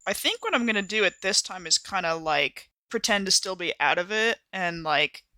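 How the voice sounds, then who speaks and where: A woman chats casually as a player in a tabletop game, working out her choices aloud. Her voice is bright and forward, recorded dry and close. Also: medium pitch, expressive, normal speed, no echo, low-quality recording. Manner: informal, thinking aloud.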